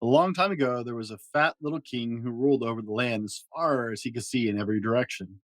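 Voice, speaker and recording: A man narrating a children's story aloud in an expressive, animated way with dramatic pauses. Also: medium pitch, no room sound. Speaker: podcast host reading a children's storybook aloud.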